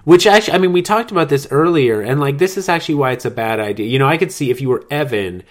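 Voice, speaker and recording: A man's voice: medium pitch, lively intonation, fast. Male podcast co-host, dry wit, wry asides in casual recap chat.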